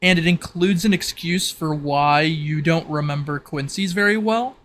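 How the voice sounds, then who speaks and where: A man acting as game master, improvising narration for a tabletop roleplay in a halting, think-aloud manner. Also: fairly high pitch, a bit bright, slight background noise.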